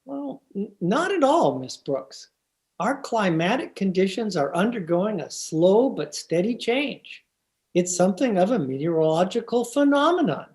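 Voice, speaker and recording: A woman's voice: mid-range voice, big pitch swings, measured pace, darkish tone. A woman playing an earnest, pedantic, mild-mannered male science teacher in a 1950s-style radio comedy, speaking hesitantly.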